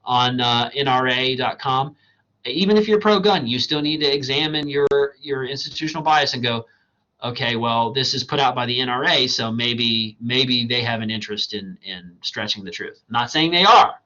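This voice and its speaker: A man teaching a college writing class in a recorded slideshow lecture, talking in a loose, conversational way, tagging "right?" onto his points, his voice going hoarse. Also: mid-range voice, moderate pace, sharp tone, muffled recording. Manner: conversational and digressive, asks "right?" often. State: losing his voice.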